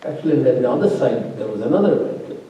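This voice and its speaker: A man's voice: medium pitch, animated, very fast, warm tone, muffled recording. Distant man in a roomy hall. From immigrant living in Norway. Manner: discursive, with many fillers and restarts. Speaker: faculty opponent at a thesis defense. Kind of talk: spoken academic commentary.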